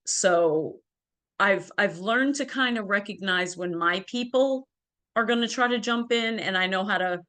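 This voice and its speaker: A woman's voice: medium pitch, fairly bright tone. A woman guest telling her personal story in an interview, earnest and full of ums and restarts, on a video call from home. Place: home, by a ring light.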